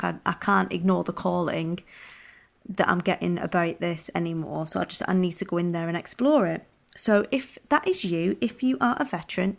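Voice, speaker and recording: A woman's voice: mid-range voice, gravelly. A woman hosting a podcast, chatting in an upbeat, conversational way. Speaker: podcast host. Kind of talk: casual podcast chat.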